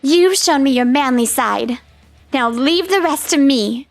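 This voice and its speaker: A brash delinquent schoolgirl gang chief, played by a woman in a manga dub. The voice sits very high but talks blunt and streetwise. Soft music plays underneath. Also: expressive, unhurried, dry recording. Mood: tough. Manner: blunt, streetwise, tough talk. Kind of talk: manga voice-over acting.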